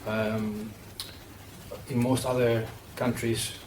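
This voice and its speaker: A man's voice: medium pitch, level tone, slow. A middle-aged man with a Greek accent, speaking earnestly on a roundtable panel, with many fillers and restarts. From Greece. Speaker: panelist working with athletes. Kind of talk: roundtable discussion. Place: event room. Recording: distant mic in an echoey, noisy room.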